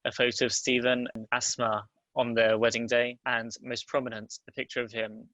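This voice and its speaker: A man's voice: mid-range voice, little pitch movement, normal speed, fairly bright tone, no echo, rough recording. A man reading stage directions as scripted narration at a table read.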